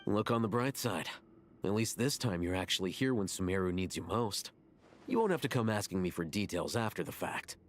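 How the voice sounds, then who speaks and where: A man's voice: sits low, animated, brisk, slight background noise. An actor voicing a cool, sardonic, unruffled young man in a video game. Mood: dry, teasing.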